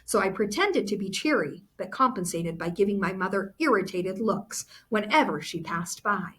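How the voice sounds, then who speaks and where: A woman with a mid-pitched voice reads a novel aloud as a teacher would for a class. She is expressive and instructive, stepping out of the text to explain it and putting questions to her listeners. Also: moderate pace. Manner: expressive, instructive, checks understanding with rhetorical questions. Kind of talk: classroom read-aloud with explanatory asides to students.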